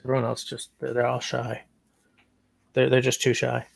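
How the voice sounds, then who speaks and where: Male livestream host, gravelly, dry close mic, rambling chat. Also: mid-range voice, monotone, moderate pace, no echo. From Canada. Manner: meandering, reads out chat comments.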